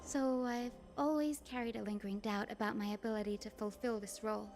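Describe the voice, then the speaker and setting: An actress playing a gentle, modest young woman healer in a video game, speaking politely and earnestly. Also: a little high, steady tone, brisk, noisy background, lightly acted. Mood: candid, wistful. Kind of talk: scripted game voice acting. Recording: music behind the voice. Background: background music.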